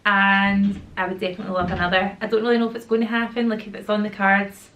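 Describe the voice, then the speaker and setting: Chatty Glaswegian mum with a sore throat. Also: female voice, medium pitch, flat delivery, quick pace, dull audio, slight background noise. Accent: Glaswegian Scottish. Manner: chatty, rambling, slangy. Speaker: YouTuber and mum. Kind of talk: casual Q&A chat to camera. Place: at home with children nearby.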